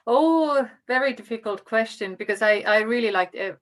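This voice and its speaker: A woman from Finland with a Finnish accent, a native Finnish speaker, talking as a guest teacher on a video call in a measured, encouraging way. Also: on the high side. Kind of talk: casual video call talk.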